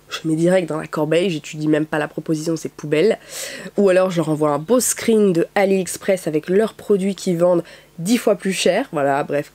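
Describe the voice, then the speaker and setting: A woman's voice: deep voice, mellow, no echo. A young woman with a cold, speaking a bit through her nose, chatting to her viewers as a YouTuber with lots of fillers. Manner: chatty, many "euh" fillers. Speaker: YouTuber, content creator. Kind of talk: casual talk to camera. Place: at home, window open, rain outside.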